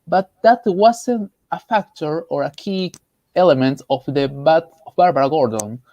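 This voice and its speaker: A man from Peru with a Peruvian Spanish accent, giving casual opinions as a panelist in an emphatic, halting way, often repeating phrases. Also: a little high, warm tone, no room sound, highs cut off. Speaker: panelist, artist.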